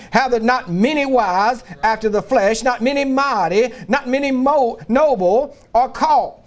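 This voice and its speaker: A middle-aged man preaches in a very high, strained-up register. He delivers a fervent revivalist sermon in a folksy, exhorting way, pushing hard on key words and rising into shouts.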